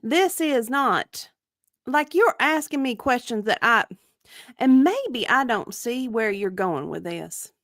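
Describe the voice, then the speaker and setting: Casting: woman performing indignant female deposition witness, evasive, insistent, lively swooping intonation, close dry sound. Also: a little high, slow, no echo, full character voice. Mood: impatient. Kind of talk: dramatic reading of a deposition transcript.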